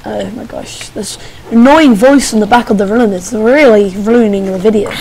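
A young woman giving casual, excitable commentary while playing a game, squealing and making playful sing-song noises. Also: medium pitch, moderate pace, slight background noise, rough recording. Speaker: gamer. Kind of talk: casual let's play commentary.

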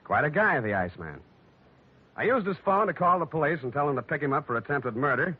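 Wry hard-boiled radio detective, muffled recording. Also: male voice, a little high, expressive, fast, husky. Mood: dry, brisk. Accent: American. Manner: clipped, dry, sardonic. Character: wry tough male private detective. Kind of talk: 1940s radio acting, hard-boiled narration. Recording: dull noisy old radio recording.

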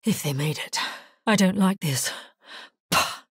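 A woman playing a grave, resolute necromancer hero in a video game, husky-voiced, speaking in a measured, solemn and terse way. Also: fairly low pitch, no echo, studio quality. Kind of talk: scripted video game character lines.

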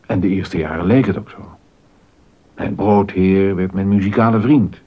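A troubled court composer, played by a male actor in a historical radio drama. His voice is low and husky, and the sound is slightly dull. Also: steady tone, normal speed. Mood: disillusioned. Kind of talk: scripted radio drama dialogue.

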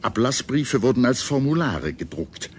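A man reading scripted history documentary narration in a measured, solemn and dramatic manner. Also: medium pitch, sing-song, darkish tone, slight background noise.

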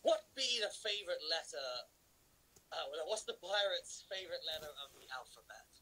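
A man's voice: on the high side, expressive, normal speed, pushed and bright, slight background noise, rough recording. A man, hosting a casual live stream, jokey and rambling with many fillers and restarts. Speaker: livestream host. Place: indoor room with patterned wall hanging. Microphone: phone camera at arm's length.

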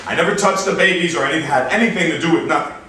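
A man's voice: a little high, racing, sharp tone. A man playing a defensive, evasive Nazi work-detail leader under interrogation in a staged reading. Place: meeting room, staged reading at a table. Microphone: far camera microphone. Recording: very echoey room, far microphone, hiss.

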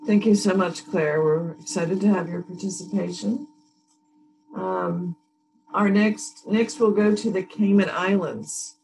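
A woman in her thirties hosting a training workshop over a video call, warmly introducing each participant in turn. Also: mid-range voice, fairly even intonation, unhurried, vocal fry, slight background noise, rough recording. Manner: friendly, thanks each speaker. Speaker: workshop host.

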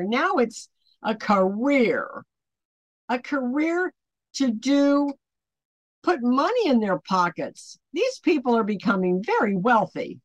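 A woman's voice: on the high side, dry recording. Older American woman, dry close sound. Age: 60s or 70s. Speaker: online ministry host. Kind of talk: informal spoken commentary to camera. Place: small home office, indoors.

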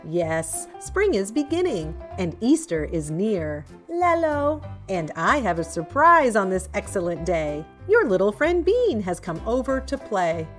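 A woman's voice: mid-range voice, very animated, a little slow, hardly any pauses, faint music behind. A woman voicing a doting, cheerful mother pig in a children's storybook, affectionate and singsong.

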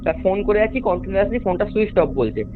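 A man's voice: high voice, expressive, quick pace. A young man with a West Bengali accent, giving a rambling, repetitive, earnest account as a caller over the phone. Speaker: caller recounting strange events at home. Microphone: phone call. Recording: narrow tinny phone line, music behind. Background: music.

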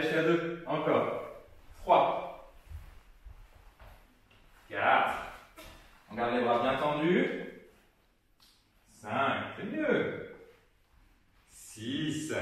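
Lively young man coaching, echoey studio. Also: fairly high pitch. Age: young adult. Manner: counts reps aloud, lively encouraging coaching. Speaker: fitness coach. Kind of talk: guided workout instruction. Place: gym studio, indoors. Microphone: far camera. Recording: very echoey room, voice from far off.

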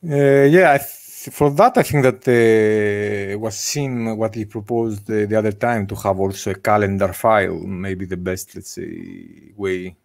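Hesitant man on a video call, slow and gravelly. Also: on the low side. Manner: hesitant, many filler uhs. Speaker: meetup organizer. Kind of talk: casual video call discussion. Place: home room with bookshelves. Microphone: desk microphone on video call.

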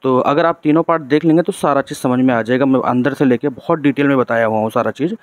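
Casting: chatty male vlogger, riding through town, dry close sound, mid-pitched voice. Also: fast talker, dry recording. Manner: chatty, repeats "theek hai" as a tag. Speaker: travel vlogger. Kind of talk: casual vlog narration.

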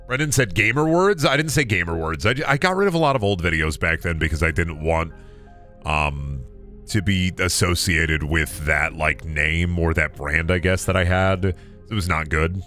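Low-voiced sick man streaming a game. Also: lively intonation, normal speed, bright, forceful tone, soft background music. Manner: rambling, self-deprecating, swears at the game. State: sick, voice close to cracking. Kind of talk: casual gameplay stream chat. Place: home room at a desk. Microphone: headset.

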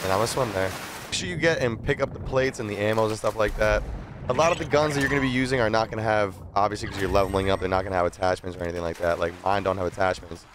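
A man's voice: lowish voice, very fast. A young man who streams games, giving casual gameplay commentary and tips in slangy, repetitive explanations. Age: young adult. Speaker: game streamer. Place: small streaming room at a desk. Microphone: close desk microphone. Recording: game gunfire and vehicle noise behind. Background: video game gunfire and ambience.

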